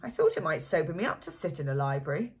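A woman's voice: sits low, lively intonation, fast, darkish tone, slightly rough, heavily acted. A woman voicing a stout, drunk, middle-aged man who talks in an eager, insistent, blustery way. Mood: chatty.

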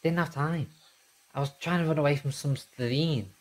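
A man's voice: on the high side, normal speed, lightly acted. Man acting a grumbling, hapless park worker. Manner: casual, complaining. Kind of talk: audio drama acting.

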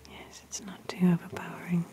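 A woman in her thirties speaking in a gentle, hushed, breathy ASMR whisper, as an examiner giving personal attention. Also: fairly low pitch, monotone, brisk. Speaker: ASMR roleplay performer as ear examiner. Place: small indoor room with fabric backdrop.

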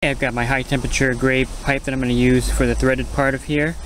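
A man narrates a hands-on tutorial in a plain, casual way, explaining each step as he works. His voice sits at a middling pitch. The recording is made outdoors, with clear ambient noise behind him. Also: level tone, fast, a bit bright, poor audio. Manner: plain-spoken, step-by-step instructing. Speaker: how-to host, pool equipment installer. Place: outdoors at a small backyard equipment pad.

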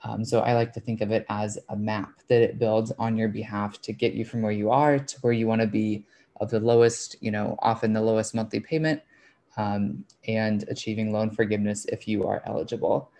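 A man presenting an informal explanatory webinar, walking listeners through things step by step in a creaky voice. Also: fairly low pitch, fairly even intonation, a little fast, dark tone. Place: online video call webinar.

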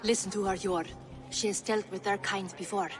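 A woman's voice: medium pitch, fairly even intonation, moderate pace, close to an everyday voice. An actress playing a tense, guarded, suspicious Norse woman in a video game. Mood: urgent. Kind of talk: video game cutscene acting.

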